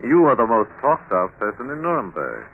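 A thin, faintly hissy old radio recording of a brisk, probing magistrate. His clipped, insistent questions swing widely in pitch. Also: huge pitch swings, phone-quality sound. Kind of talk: 1950s radio drama acting.

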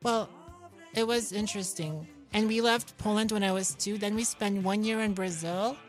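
A woman's voice: medium pitch, moderate pace, faint music behind. A woman from New York chatting casually as an interview guest, in a guileless, self-deprecating, simply worded way. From New York, United States. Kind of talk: casual chat.

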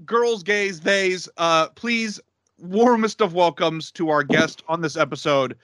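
A man's voice: sits high, takes it slowly, fairly bright tone, a little hiss or hum. A man in his thirties co-hosting a podcast, rambling and self-deprecating with lots of fillers. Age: 30s. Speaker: podcast co-host. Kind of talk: casual podcast chat.

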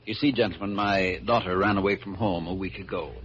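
A courteous, well-to-do middle-aged father speaks in a fairly low voice, formal and slightly hesitant. It is vintage radio drama, muffled and a bit noisy. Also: fairly even intonation, fairly quick, a bit bright. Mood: formal, concerned. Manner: polite, formal, faintly hesitant. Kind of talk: 1940s radio drama acting.

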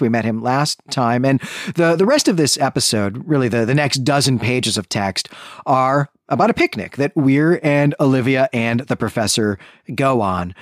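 A man's voice: mid-range voice, no echo, studio quality. A man co-hosting a podcast, talking through a literary recap in a bookish, discursive, lightly amused conversational way. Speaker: podcast co-host.

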